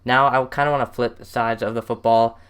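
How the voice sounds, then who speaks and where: A man's voice: medium pitch, steady tone, brisk, a bit bright, clear, resonant tone. A man with an American accent hosting a casual sports interview and asking questions. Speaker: podcast host, interviewer.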